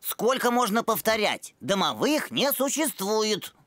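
A woman's voice: medium pitch, big pitch swings, measured pace, a bit bright, no room sound. A woman voicing a pompous, bookish know-it-all boy dog in a children's cartoon. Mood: lecturing. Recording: music behind the voice.

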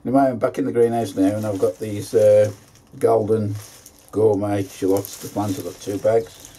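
Homely man in his fifties, low dark voice, chatty allotment vlogger, faint background noise. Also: steady tone, normal speed, rough recording. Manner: chatty, homely, plain-spoken. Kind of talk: casual gardening vlog narration. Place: inside a greenhouse on an allotment.

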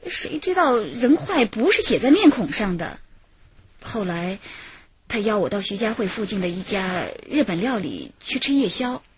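A woman's voice: mid-range voice, big pitch swings, normal speed, natural voice. An actress playing a chatty, flirtatious and defensive dance-hall woman in a radio drama, a coy way of talking. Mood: defensive, recounting. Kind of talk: radio drama dialogue and recounting. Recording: slightly muffled, music underneath.

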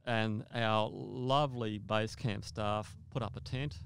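Older man, low creaky storytelling voice. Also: fairly even intonation, slow, a bit bright, dry recording. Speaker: podcast guest, mountaineer. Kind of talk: conversational first-person storytelling.

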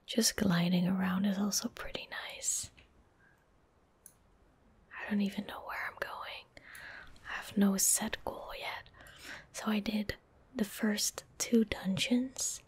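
A woman in her twenties giving soft-spoken ASMR game commentary in a breathy, gentle whisper, unhurried and close to the microphone. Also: medium pitch, fairly even intonation. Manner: slow, unhurried, gentle murmuring. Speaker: ASMR streamer. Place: small indoor room at a desk. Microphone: close desk microphone.

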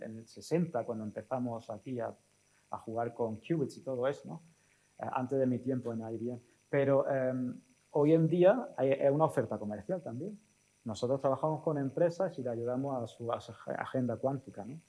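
A man in his forties speaking as a guest in an on-stage interview, thinking aloud haltingly and often checking with short tag questions. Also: medium pitch, mellow, poor audio. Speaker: guest speaker, technology executive. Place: auditorium stage. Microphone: lapel mic.

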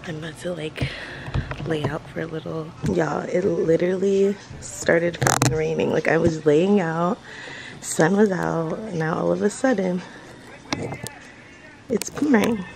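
A woman's voice: on the low side, fairly even intonation, measured pace, creaky, roomy sound, background noise. A young American woman talking casually to her phone camera in a vacation house, chatty and swearing freely, with lots of "like.". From United States. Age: young adult. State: tired. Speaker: travel vlogger. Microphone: phone camera at arm's length.

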